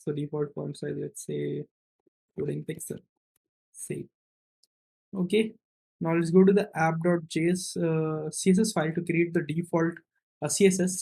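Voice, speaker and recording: A man's voice: fairly high pitch, darkish tone, low-quality recording. Man talking through a coding tutorial. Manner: instructional, thinking aloud, often adds "right?" as a tag. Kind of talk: step-by-step screen-recorded programming tutorial, unscripted.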